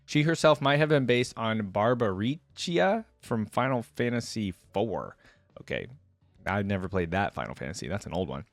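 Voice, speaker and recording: A man's voice: fairly low pitch, lively intonation, normal speed, dry recording, soft background music. A man doing casual reaction commentary and reading aloud, with an enthusiastic, slangy, chatty way of talking to viewers. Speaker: reaction video creator.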